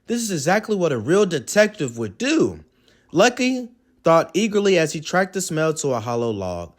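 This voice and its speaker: Expressive man reading a children's story, very lively pitch. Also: moderate pace. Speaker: read-aloud host and storyteller. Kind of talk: animated children's storybook read-aloud.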